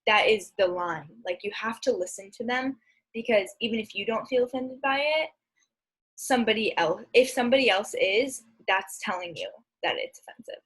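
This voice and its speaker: A young woman with a slightly husky voice talks through an instructional presentation. Her delivery is casual and conversational, at a middling pitch for a woman. She is recorded at home on a laptop mic. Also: normal speed, fairly bright tone, poor audio. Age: young adult. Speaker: speech and debate presenter. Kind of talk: informal instructional slide presentation. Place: indoor room at home, on a video recording.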